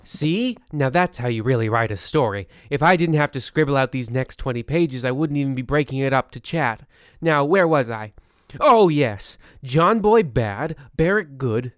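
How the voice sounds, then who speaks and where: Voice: medium pitch, animated, moderate pace, no echo, studio quality, close to an everyday voice. An actor playing a pompous, boastful scriptwriter muttering a self-satisfied monologue in a comic radio play. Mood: smug, boastful.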